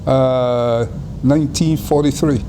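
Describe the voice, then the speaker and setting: An older man from Guyana, telling his life story in an interview in an unhurried way, often repeating and restarting phrases. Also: medium pitch, steady tone, background noise.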